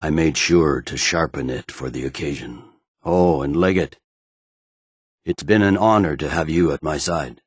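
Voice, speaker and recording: An actor voicing a stern, battle-hardened Imperial general in a fantasy video game, with a curt and commanding manner. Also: male voice, extremely low pitch, measured pace, slightly rough, no echo, studio quality, exaggerated voice. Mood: resigned, dignified. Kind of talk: video game character dialogue.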